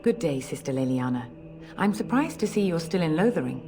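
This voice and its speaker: An actress playing a stern reverend mother of a church in a fantasy game, formal and measured. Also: sits low, very animated, over music. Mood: cool, formal. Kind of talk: dramatic game dialogue.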